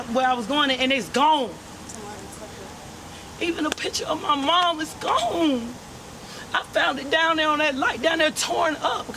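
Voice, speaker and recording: A rough-spoken man speaking in street slang in a candid street interview outdoors. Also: extremely high pitch. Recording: loud traffic noise on the street.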